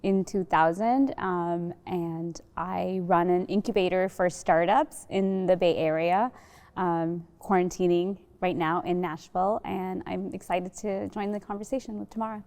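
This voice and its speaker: A woman's voice: fairly low pitch, fairly even intonation, measured pace, darkish tone. Woman from Sri Lanka in a reverberant hall. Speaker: panelist. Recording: long reverb of a large hall.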